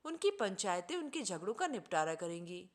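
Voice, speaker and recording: A woman's voice: fairly low pitch, very animated, moderate pace, pitched below the performer's own voice. A woman voicing an indignant, idealistic man in a storybook reading, speaking in an earnest, formal, oratorical way. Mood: earnest, reasoning. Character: idealistic, indignant man preaching justice and selfless service.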